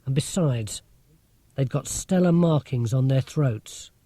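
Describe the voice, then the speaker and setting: A man's voice: medium pitch, takes it slowly, mellow, dry recording. A man voicing an anxious, earnest schoolboy in an audiobook, insistent and worried. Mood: indignant. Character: anxious, earnest schoolboy convinced of alien threat.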